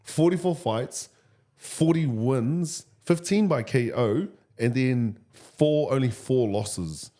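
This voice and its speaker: A male podcast host with a New Zealand accent and a medium-pitched voice. He interviews in a casual, matey way, full of enthusiasm and informal slang. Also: expressive, slow, dark tone.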